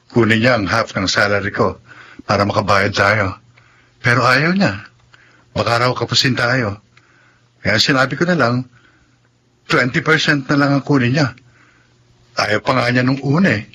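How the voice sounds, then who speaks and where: A humble, grateful older brother in a radio drama. His voice is husky and rough at the edges, with a bright, forward tone. He is caring and earnest toward his family. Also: mid-range voice, moderate pace, long pauses. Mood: earnest, reassuring. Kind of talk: Tagalog radio drama acting.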